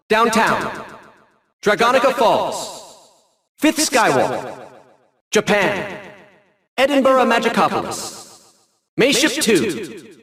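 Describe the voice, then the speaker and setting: An actor playing a haughty, eccentric young genius villain in a video game, with a light youthful voice and precise, wordy, theatrical diction. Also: male voice, sits high, fairly bright tone, roomy sound, exaggerated voice. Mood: showy announcing. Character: small, prim, eccentric genius villain, smug and condescending.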